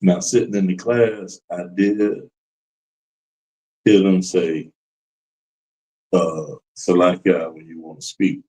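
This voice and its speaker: Low-voiced agreeable newcomer man. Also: level tone, slow pace, pauses often, dark tone, poor audio. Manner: agreeable, short affirmations. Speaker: newcomer to the class. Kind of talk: casual chat.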